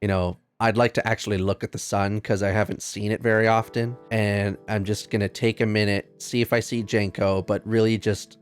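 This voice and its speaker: A man's voice: on the low side, flat delivery, fairly quick, hardly any pauses, smooth, soft background music. A man playing a tabletop game, casual and jokey, laughing often. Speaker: player.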